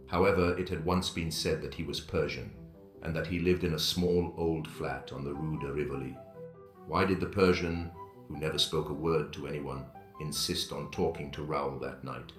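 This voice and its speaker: Very deep-voiced male story narrator. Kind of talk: dramatic scripted story narration. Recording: echoey, roomy sound with music.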